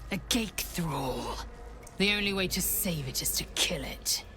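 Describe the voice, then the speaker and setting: A woman's voice: fairly low pitch, lively intonation, unhurried, pushed and bright, a little hiss or hum. A woman playing a determined female adventurer in a fantasy game, terse, decisive and urgent. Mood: urgent, grim. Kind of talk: dramatic video game acting.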